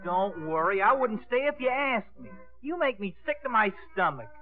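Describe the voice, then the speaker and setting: A man's voice: high-pitched, big pitch swings, moderate pace, faint music behind. An actor playing a sulky, sarcastic teenage boy, grumbling and blurting scornfully in a 1950s radio play. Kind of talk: 1950s radio drama acting. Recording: narrow, lo-fi 1950s radio broadcast.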